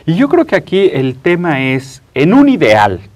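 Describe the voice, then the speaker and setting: A man with a Mexican accent hosting a studio interview, speaking conversationally and haltingly as he searches for his words. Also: on the high side, wildly swooping pitch, a little mellow. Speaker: talk show host and interviewer.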